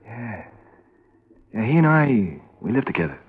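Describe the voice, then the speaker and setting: An actor playing a guilt-ridden small-time American crook in a radio crime drama, agitated and halting, repeating himself insistently. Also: male voice, medium pitch, big pitch swings, breathy edge. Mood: resigned. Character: guilt-ridden, nervous male small-time drug peddler turned informant. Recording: muffled old radio broadcast recording.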